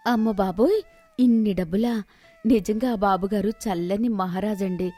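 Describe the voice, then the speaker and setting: A woman's voice: mid-range voice, moderate pace, mellow, faint music behind, close to an everyday voice. A woman voicing a poor gardener's supportive wife in a moral story, respectful and earnest. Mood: amazed, hopeful. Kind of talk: dramatised moral storybook dialogue.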